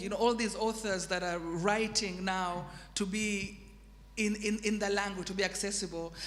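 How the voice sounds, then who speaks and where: A woman from Zanzibar whose first language is Swahili, speaking English as a translator in a panel discussion, thoughtful and laughing easily, with many restarts. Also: medium pitch. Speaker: literary translator. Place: festival stage in a reverberant hall. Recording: long hall reverb.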